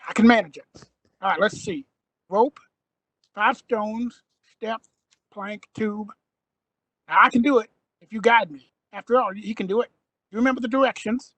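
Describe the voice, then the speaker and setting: Young male adventurer , acted: confident, sceptical, sharp bright tone, dry close sound. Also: mid-range voice, moderate pace, pauses often, no room sound, poor audio. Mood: confident, determined. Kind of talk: audio drama acting.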